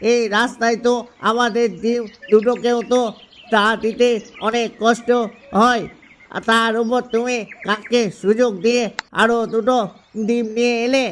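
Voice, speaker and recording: Cartoon father duck, male actor, extremely high but flat delivery, fretful and cautious, faint noise. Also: moderate pace. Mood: grumbling. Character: worried, grumbling father duck, cautious husband. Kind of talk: cartoon voice acting.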